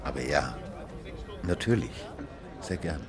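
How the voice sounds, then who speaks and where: An actor playing a chatty, flirtatious newcomer in a naturalistic radio drama. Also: male voice, very deep voice, lively intonation, unhurried, a crowd in the background. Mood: polite, playful. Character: chatty, flirtatious newcomer waiting for a blind date.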